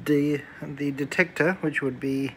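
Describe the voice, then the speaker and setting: A man's voice: fairly high pitch, steady tone, measured pace, a little hiss or hum. A man giving casual, hands-on commentary as he demonstrates electronics at an indoor workbench. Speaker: electronics hobbyist presenter. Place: small indoor room at a workbench.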